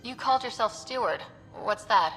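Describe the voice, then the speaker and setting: A woman's voice: on the high side, lively intonation, moderate pace, fairly bright tone, in a large hall, a little hiss or hum, low-quality recording. A woman playing a direct, inquisitive young explorer and team leader in a video game, talking plainly. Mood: polite, curious. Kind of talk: video game character dialogue.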